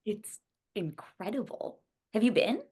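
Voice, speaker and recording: A woman playing an earnest, hesitant young woman in a naturalistic screenplay table read, speaking haltingly. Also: medium pitch, animated, darkish tone, slightly rough. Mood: curious, playful. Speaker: actor at a script table read.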